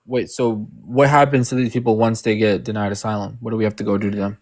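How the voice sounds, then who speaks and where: A man's voice: lowish voice, level tone, fast talker, a little mellow. A young man arguing hard in a heated online political debate, swearing and mocking his opponents. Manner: argumentative, mocking impressions, swearing.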